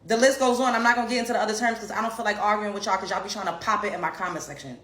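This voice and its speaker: A woman's voice: medium pitch, rapid-fire, a bit bright. Blunt, profane New woman ranting. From Brooklyn, New York. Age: 30s. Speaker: online commentator and comedian. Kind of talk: heated ranting commentary. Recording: roomy echo.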